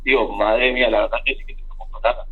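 A man's voice: mid-range voice, fairly even intonation, fast, slightly rough. Argentine man on a tinny phone line. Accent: Argentine Spanish. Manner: rambling, many restarts and fillers. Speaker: interview guest. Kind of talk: casual remote interview.

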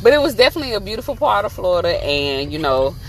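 A woman's voice: mid-range voice, big pitch swings, fast, a little hiss or hum, poor audio. A woman in her thirties chatting casually for a travel vlog from inside a moving car, laughing often. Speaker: vlogger.

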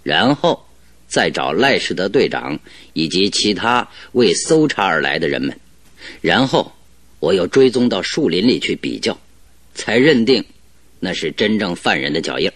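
An actor playing a confident, cheerful detective in a radio drama, explaining things in an animated, self-assured, didactic way. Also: male voice, sits low, normal speed, no echo, full character voice. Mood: assured deducing. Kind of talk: Chinese radio drama acting.

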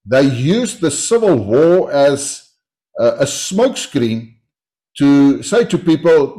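A man with a South African accent, Afrikaans his first language, answering interview questions in a measured way with frequent hesitations. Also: mid-range voice, warm tone. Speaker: politician guest.

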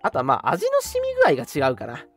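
A man's voice: on the high side, huge pitch swings, fast. A young man co-hosting a radio show, chatting casually and laughing easily, sometimes reading out listener comments. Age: young adult. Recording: music behind the talk. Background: background music.

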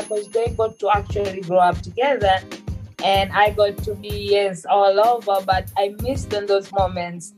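A woman's voice: medium pitch, darkish tone. A young woman hosting a podcast, chatting casually and candidly about personal matters. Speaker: podcast host. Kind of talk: casual chat.

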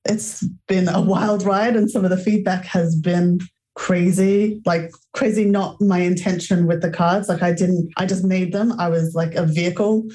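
A woman's voice: on the low side, fairly even intonation, moderate pace, mellow. A young woman entrepreneur talking as a guest in an interview, enthusiastic and rambling, with plenty of "like"s. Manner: enthusiastic, spiritual, rambling with many "like"s. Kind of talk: conversational interview.

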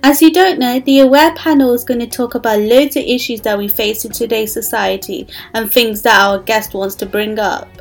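A woman's voice: fairly high pitch, fairly even intonation, normal speed, gravelly, few pauses, soft background music. A woman hosting a podcast, introducing the show and guests and asking questions. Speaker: podcast host.

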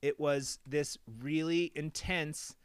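A man's voice: a little high, fairly even intonation, takes it slowly, clean tone, no echo, studio quality. A man co-hosting a podcast, chatting casually in a playful, dramatic way, laughing often. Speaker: podcast co-host. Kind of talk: casual chat.

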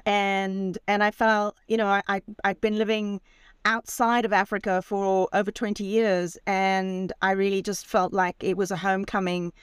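A woman's voice: medium pitch, level tone, moderate pace, smooth, no room sound, clean studio sound. A woman in her sixties from Zimbabwe, telling her story as a podcast guest in a chatty, self-deprecating way over a remote call from her campervan. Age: 60s. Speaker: guest, author and van traveller. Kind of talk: casual storytelling interview.

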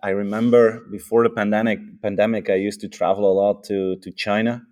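Dutch-accented man, lowish voice. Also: flat delivery, brisk, a little mellow. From Europe. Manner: enthusiastic, repeats words when starting a thought, adds "huh?" tags. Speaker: author and podcast guest. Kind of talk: casual conversation.

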